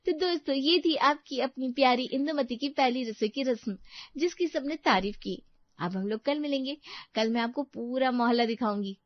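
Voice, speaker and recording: A woman voicing an eager, vain and bossy newlywed vlogger in an animated moral story, showy and insistent. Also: sits high, normal speed, lo-fi sound, close to an everyday voice. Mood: cheerful, showy.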